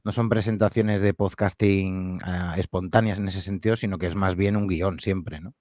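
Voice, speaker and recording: A man's voice: low-pitched, fairly even intonation, normal speed, a little mellow, dry recording, studio quality. A man who makes audio fiction, answering interview questions in a friendly, hesitant way with fillers and restarts. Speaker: podcast creator and guest. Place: home studio.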